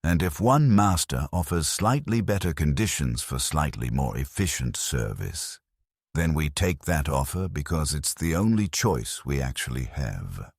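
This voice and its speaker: A man voicing a weary, cynical forge worker in a sci-fi story, blunt and resigned, with a gravelly voice. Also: rumbling low, sing-song, a little slow, no room sound, studio quality, heavily acted. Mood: bitter resignation.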